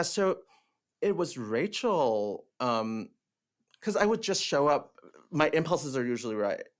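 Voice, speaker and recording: A man from Hong Kong whose first language is Cantonese, a theatre director in casual podcast conversation, animated and laughing often, with many fillers and restarts. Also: medium pitch.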